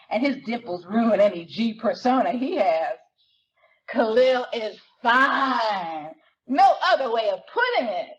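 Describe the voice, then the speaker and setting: A woman performing a self-conscious, wry sixteen-year-old girl narrating her life in a slangy, expressive dramatic reading of a novel. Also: a little high, dull audio. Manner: slangy, expressive, conversational storytelling.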